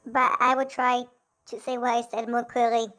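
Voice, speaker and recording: A woman with a stutter speaks haltingly, stopping and restarting her sentences. Her voice is high but barely moves in pitch, which gives her talk a flat, even sound. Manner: hesitant, halting, many restarts. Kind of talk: casual talk in her own videos.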